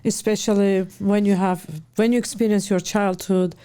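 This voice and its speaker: A woman hosting an interview, asking questions gently and encouragingly with halting phrasing. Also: medium pitch, fairly even intonation. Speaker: interview host.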